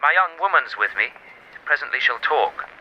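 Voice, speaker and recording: An actor playing a breezy young upper-class English gentleman in a period radio drama, heard through a telephone filter. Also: fairly high pitch, expressive, normal speed, slight background noise. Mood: brisk, breezy. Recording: thin, band-limited telephone line.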